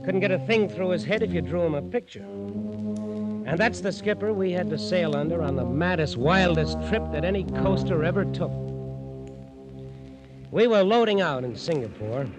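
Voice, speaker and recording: A wry seafaring mate played by a man in a vintage radio play, with a slightly high voice and music playing behind it. He is sardonic and exasperated, grumbling like someone long tired of his superiors. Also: lightly acted. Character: sardonic, exasperated male British merchant ship's mate. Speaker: actor playing a first mate and narrator. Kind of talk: 1940s radio acting, first-person storytelling.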